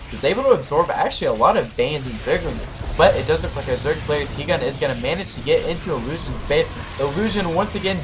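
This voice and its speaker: Esports caster man losing his voice. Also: medium pitch. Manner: rising excitement at big plays. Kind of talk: live game commentary.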